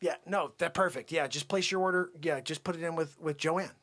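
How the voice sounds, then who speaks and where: A man acting a pushy, bragging, sleazy cold-call salesman, improvising comic patter in a husky, strained voice that is brash, wheedling and repetitive. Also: on the high side, brisk. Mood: slick, coaxing. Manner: brash, wheedling, repetitive, swinging between whispers and yells.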